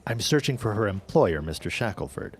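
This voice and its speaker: A man's voice: fairly low pitch, sing-song, fairly quick. A man acting a polite, persistent newspaper city editor in a 1910s period audio drama, speaking in a formal, courteous, probing way. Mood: polite, insistent.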